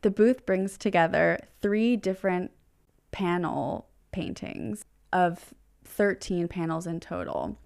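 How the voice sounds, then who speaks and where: A woman's voice: fairly low pitch, expressive, slow pace, gravelly, a little mellow, smooth. A woman gallery representative being interviewed, giving articulate, prepared explanations about art.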